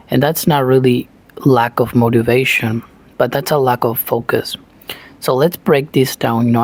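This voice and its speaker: A man from Argentina with an Argentine Spanish accent, a native Spanish speaker giving an earnest motivational talk in English, full of "you know" fillers. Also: medium pitch. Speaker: self-taught English learner, channel host.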